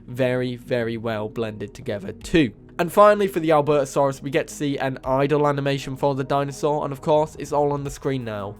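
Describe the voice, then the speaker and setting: A man with a cold, sounding hoarse and nasal, enthusiastically narrating a game mod showcase as host of his channel. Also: medium pitch, brisk, hardly any pauses. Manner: enthusiastic, casual, repeats praise. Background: faint music.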